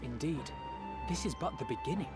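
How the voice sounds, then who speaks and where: A man's voice: a little high, fairly quick, natural voice. A man playing an earnest, determined campaigner in a video game, speaking in formal, eloquent phrases. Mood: determined, hopeful. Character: earnest, determined male campaigner looking to the next battle. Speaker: video game character actor. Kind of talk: dramatic game dialogue. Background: loud music.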